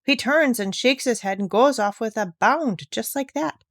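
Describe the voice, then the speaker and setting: A woman's voice: a little high, moderate pace, no room sound, studio quality, natural voice. A woman voicing a curious, frank little girl in a storybook, with an artless, questioning manner. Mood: puzzled.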